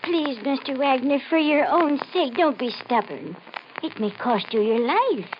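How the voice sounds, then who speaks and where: An actress playing a superstitious, reproachful neighbour in an old-time radio mystery, earnest and theatrical. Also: female voice, sits high, expressive, a little slow. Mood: foreboding. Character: superstitious, reproachful female neighbour full of warnings. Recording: dull, noisy old radio recording.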